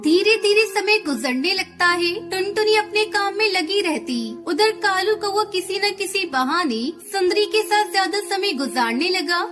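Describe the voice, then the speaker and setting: A woman's voice: extremely high pitch, lively intonation, normal speed, few pauses, music in the background. A woman narrating a children's cartoon tale as scripted storybook narration.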